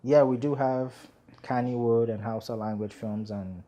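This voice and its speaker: A man, a screenwriter guest chatting in an interview, relaxed and rambling with many fillers. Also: mid-range voice, moderate pace, highs cut off. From Nigeria. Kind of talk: casual interview chat.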